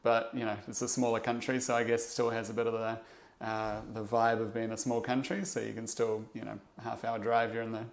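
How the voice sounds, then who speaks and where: A young man with a New Zealand accent talks through his past in a casual podcast chat. He pauses often and restarts his sentences, reflective and unhurried in his thinking. His pitch sits in an ordinary middle range for a man. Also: little pitch movement, gravelly. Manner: reflective, halting, many fillers and restarts. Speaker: interview guest, investor.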